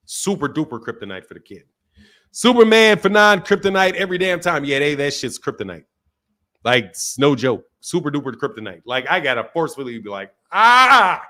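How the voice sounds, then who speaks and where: A man hosting a casual live-stream boxing talk show, reading out chat comments and reacting in an animated, repetitive way with plenty of swearing and slang. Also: fairly high pitch. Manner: animated, swears freely, repeats himself.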